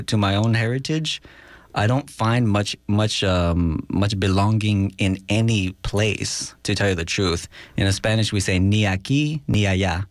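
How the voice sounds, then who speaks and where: Low-voiced man with halting speech. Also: dry recording. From Los Angeles, USA. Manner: halting, many fillers, drops in Spanish words. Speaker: guest musician. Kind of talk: casual radio interview. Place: radio studio.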